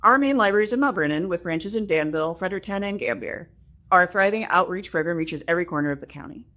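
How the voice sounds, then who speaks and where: Woman, lowish voice, scripted read with friendly offhand comments. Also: expressive, quick pace, a bit bright. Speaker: library assistant director. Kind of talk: reading prepared remarks with casual asides.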